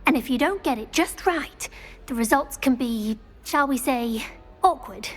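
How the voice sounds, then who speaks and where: Ambitious British teen witch for a game; high, precise, bookish. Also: measured pace. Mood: confiding, amused. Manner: precise, proper, keen. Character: studious, ambitious teenage witch schoolgirl. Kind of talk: scripted video game dialogue.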